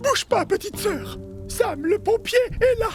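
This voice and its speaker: A woman's voice: very high-pitched, very animated, unhurried, loud music behind the voice, heavily acted. A woman voicing a young male wild boar in a cartoon, eager and good-natured. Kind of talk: French cartoon dubbing.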